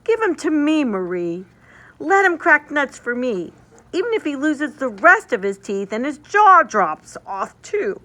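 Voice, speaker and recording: A woman's voice: high-pitched, big pitch swings, unhurried, bright, forceful tone, voice pushed up, exaggerated voice. Woman as a bossy, scornful storybook boy. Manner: scornful, demanding. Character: bossy, scornful young boy demanding a toy.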